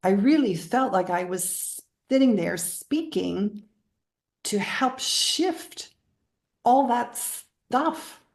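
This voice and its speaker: A woman's voice: a little high, animated, very slow, echoey room. A woman from the Bahamas speaking as a guest in a spiritual interview, explaining her practice.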